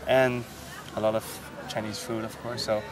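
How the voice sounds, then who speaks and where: A man from the Netherlands, a native Dutch speaker, talking reflectively as a temple guide in a halting, repetitive way. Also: on the low side, little pitch movement, a crowd in the background, rough recording. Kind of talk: reflective interview talk.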